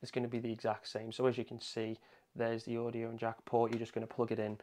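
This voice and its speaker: A man's voice: mid-range voice, monotone, fast, mellow, a little hiss or hum. A man presenting a casual hands-on tech tutorial, explaining things in an informal, conversational way. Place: indoor room at a home desk.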